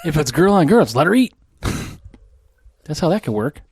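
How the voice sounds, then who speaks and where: A man's voice: on the high side, big pitch swings, a little slow, a little mellow, breathy edge. A man co-hosting a casual beer podcast, cracking crude jokes and talking loosely with lots of fillers. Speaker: podcast co-host. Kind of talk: casual chat over beers.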